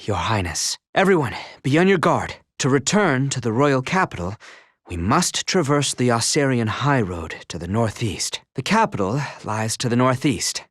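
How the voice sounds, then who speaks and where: A man's voice: mid-range voice, no echo, studio quality. A man voicing a dutiful young knight escort in a video game, speaking formally in courtly, old-fashioned phrasing. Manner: formal, courtly and archaic speech. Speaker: voice actor. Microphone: close studio mic.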